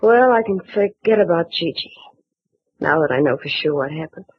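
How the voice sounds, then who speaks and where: An actress playing a weary, rueful young woman tangled up with crooks, in 1940s radio acting style, with a husky voice. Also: fairly low pitch, animated, normal speed, dry recording. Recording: dull, dry old radio recording.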